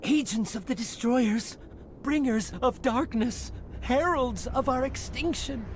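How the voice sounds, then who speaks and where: Unhinged, doom-prophesying scientist, noisy backdrop. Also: male voice, very high voice, slow. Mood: doom-laden. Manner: ominous, overwrought proclaiming. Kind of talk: cinematic video game acting.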